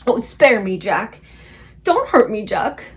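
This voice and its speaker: A woman's voice: medium pitch, sing-song, slow. A woman voicing a huge, terrified male giant who shrieks and pleads in mangled grammar. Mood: terrified shrieking.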